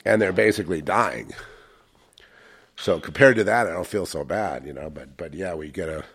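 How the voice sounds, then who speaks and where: A man's voice: low voice, lively intonation, moderate pace, fairly bright tone, breathy edge. An older man with a head cold talks in a rambling, reflective way and often stops to correct himself. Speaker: channel host. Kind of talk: casual spiritual monologue.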